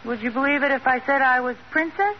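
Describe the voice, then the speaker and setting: An actress playing a boastful, evasive housewife in a 1940s-style radio sitcom. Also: female voice, high-pitched, fast talker, natural voice. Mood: sheepish, evasive. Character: brash fibbing housewife, playful and defensive. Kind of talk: 1940s radio situation comedy acting. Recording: noisy muffled old radio recording.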